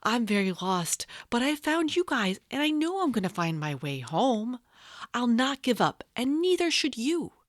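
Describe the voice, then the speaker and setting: A woman's voice: on the high side, sing-song, measured pace, no room sound, studio quality, pitched above the performer's own voice. A woman voicing a small, friendly male mouse in a children's storybook, earnest and plucky. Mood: determined.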